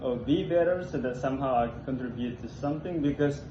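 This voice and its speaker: A young man from Indonesia, hosting a casual conversation in an apartment, talking haltingly and repeating words as he looks for the phrasing. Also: mellow, a little hiss or hum. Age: young adult. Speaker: host. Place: apartment room. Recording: echoey, dull low-fi room recording.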